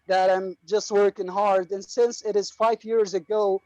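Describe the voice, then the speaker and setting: A man from Iraq with an Iraqi accent, speaking haltingly with many fillers and restarts. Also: high voice, level tone, a little mellow, no echo. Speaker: online class participant. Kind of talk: casual speech to a class. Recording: dull lo-fi video call audio.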